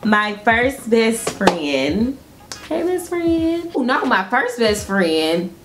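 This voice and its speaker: A woman's voice: a little high, big pitch swings, very slow, noisy background. A young woman from Georgia with a Southern accent, chatting casually with viewers while doing her makeup at home. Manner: chatty, laughs often, addresses viewers directly. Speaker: beauty vlogger. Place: small indoor room at home.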